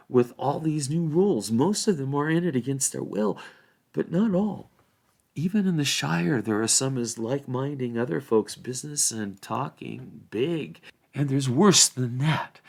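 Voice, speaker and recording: A man's voice: mid-range voice, gravelly, darkish tone, voice pushed up. A man voicing a reluctant small hobbit lawman with rustic phrasing and a pleading, confiding manner in a storybook reading.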